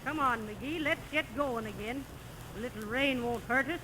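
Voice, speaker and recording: A woman's voice: high voice, normal speed, lightly acted. Teasing Irish wife played by a woman, noisy old recording. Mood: coaxing, fond. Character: sharp-witted teasing Irish wife, affectionately skeptical. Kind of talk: 1930s radio comedy acting. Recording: noisy vintage 1930s radio recording.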